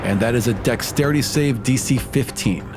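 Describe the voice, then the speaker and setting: A man's voice: medium pitch, moderate pace. A man playing a tabletop game, casually describing his moves and calling out dice results. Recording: music bed under the voice.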